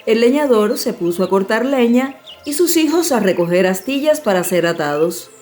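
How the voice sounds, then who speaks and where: A woman narrating a fairy tale as a scripted audiobook, in a measured, expressive storytelling manner. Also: a little high, soft background music.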